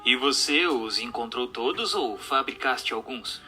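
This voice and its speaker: An actor in a radio drama playing a formal, inquisitive séance questioner and narrator who speaks in a measured, scripted way. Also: male voice, medium pitch, animated, sharp tone. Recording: music under the voice.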